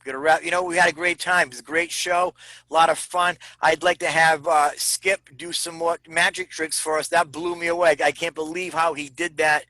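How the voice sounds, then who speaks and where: A man on a video call talks with emphatic enthusiasm. His voice sits a little high and sounds bright, and the recording is dry and close. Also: little pitch movement, moderate pace, dry recording, poor audio. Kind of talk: casual video call chat.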